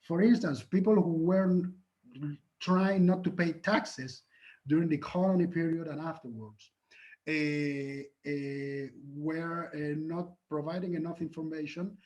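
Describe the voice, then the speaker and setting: Halting, earnest male lecturer, muffled. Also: a little high, a little mellow. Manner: earnest, halting with frequent fillers and restarts. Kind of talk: academic conference talk and Q&A.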